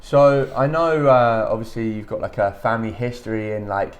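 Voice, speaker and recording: A man hosting a podcast, asking casual questions in a halting, hesitant way with many fillers. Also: on the low side, steady tone. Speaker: podcast host.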